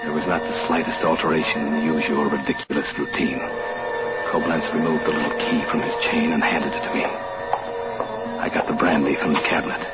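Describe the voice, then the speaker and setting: An actor playing a smooth, scheming hotel manager who narrates his murderous plans in a formal, measured way, in old-time radio drama style. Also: rumbling low, sing-song. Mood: coldly gloating. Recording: muffled old radio recording, loud music behind.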